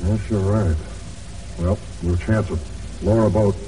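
A man's voice: on the low side, steady tone, measured pace, lightly acted. Guy doing cautious navy officer, clipped and in charge, old radio drama, kinda far from mic. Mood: decisive. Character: brisk, cautious Navy ship's officer in command. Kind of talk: 1940s radio drama acting. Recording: distant old radio recording.

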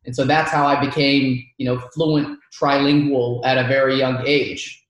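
A man's voice: mid-range voice, little pitch movement, moderate pace. A man in his thirties from Georgia, whose first language is Arabic, telling his story as a podcast guest with a chatty, laughing manner. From Georgia, United States. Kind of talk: casual storytelling interview. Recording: very echoey room.

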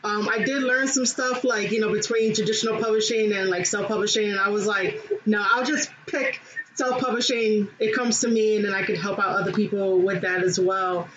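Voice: mid-range voice, fairly even intonation, a little fast, fairly bright tone, dull audio, a little hiss or hum. A podcast host asking casual, agreeable questions with many fillers. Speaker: interview host.

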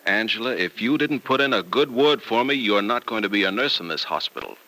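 An actor playing an eager, lovestruck doctor in a vintage radio serial. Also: male voice, fairly low pitch, lively intonation, a little fast, sharp tone, rough recording. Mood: teasing. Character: eager lovestruck male hospital doctor, gushing and earnest. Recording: old radio recording.

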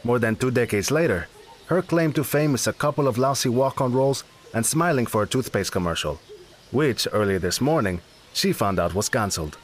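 A man's voice: mid-range voice, expressive, fairly quick, close to an everyday voice. A man narrating a comedy audio drama in a dry, matter-of-fact way. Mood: wry.

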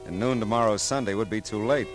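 An American actor playing a dry, persistent private detective in an old radio drama, with a wry, clipped, hard-boiled delivery. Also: male voice, on the low side, quick pace, natural voice. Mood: dry narration. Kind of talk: 1940s-50s radio detective acting with first-person narration. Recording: old radio show, faint music.

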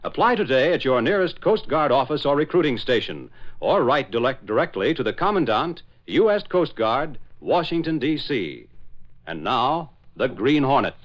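Dramatic, animated male 1940s radio announcer. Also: mid-range voice, normal speed. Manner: dramatic, declamatory. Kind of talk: 1940s radio scripted narration. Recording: dull old 1940s radio recording.

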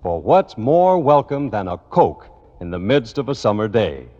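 A man's voice: lowish voice, sing-song, unhurried, warm tone. A man announcing in a scripted 1940s radio style, with a polished, genial sales delivery. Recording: dull old radio recording, long reverb.

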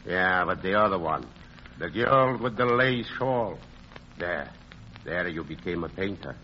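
An actor playing a fond, teasing old European art dealer in an old-time radio drama, speaking expressively and haltingly. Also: male voice, deep voice, animated. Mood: admiring. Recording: muffled, hissy old radio recording.

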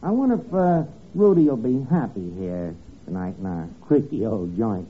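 A man's voice: medium pitch, big pitch swings, normal speed, breathy edge, phone-quality sound. A man playing a cocky, uneducated tavern manager who wisecracks dryly and slangily in 1950s radio comedy style. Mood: dry, protesting. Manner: slangy, dry wisecracking with hesitations. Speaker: comedy star. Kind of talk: 1950s radio sitcom acting. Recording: noisy old radio broadcast, studio audience. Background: live audience.

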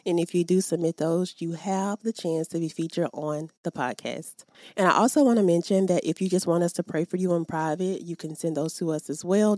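A woman's voice: fairly low pitch, dark tone, dry recording, studio quality. Upbeat woman hosting a faith podcast. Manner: upbeat, addresses listeners directly, prays aloud. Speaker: podcast host. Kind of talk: faith podcast chat and prayer. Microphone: podcast microphone.

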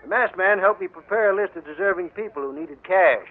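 An actor playing a calm, measured rancher who has outwitted a swindler, in 1940s radio acting. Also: male voice, high-pitched. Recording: tinny old radio broadcast.

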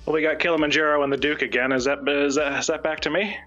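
A man with a bright, somewhat high voice makes wry, sarcastic small talk. It is casual banter with music underneath. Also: fairly even intonation, quick pace, highs cut off.

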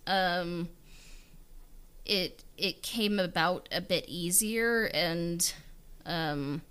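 A woman's voice: on the low side, pushed and bright, clean tone. A woman writer speaking casually and reflectively in an interview, thinking aloud with lots of "like" and "kind of". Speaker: audio drama writer being interviewed.

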